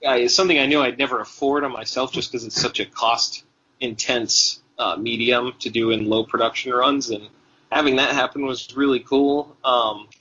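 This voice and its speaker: A man's voice: medium pitch, steady tone, normal speed, pushed and bright, breathy edge. A man from Tennessee, a guitarist guest, answering interview questions in a rambling, hesitant way with many ums and restarts. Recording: dull, lo-fi sound.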